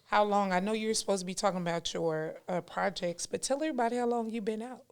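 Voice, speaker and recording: Femme à la voix nette et posée. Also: female voice, medium pitch, fast talker, clean tone. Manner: affirming, with steady back-channel yeahs. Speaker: podcast co-host. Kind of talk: casual chat.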